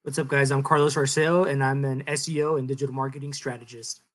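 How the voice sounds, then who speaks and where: A man in his thirties co-hosting a podcast, explaining things in a relaxed, rambling way with many fillers. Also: mid-range voice, fast talker. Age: 30s. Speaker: podcast co-host. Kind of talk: casual podcast chat.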